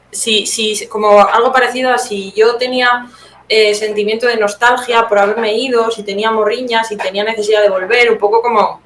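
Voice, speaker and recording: A woman's voice: mid-range voice, fairly bright tone. Young woman, Spanish accent from Spain, conversational interview guest, mid-range voice, echoey room with faint noise behind. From Teruel, Spain. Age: young adult. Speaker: guest playwright. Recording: roomy echo, clear background noise.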